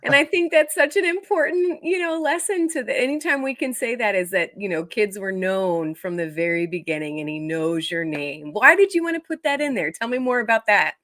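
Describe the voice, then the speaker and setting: A woman's voice: medium pitch, brisk, few pauses, a bit bright, no echo, studio quality. A woman talking casually as a talk show host in an interview.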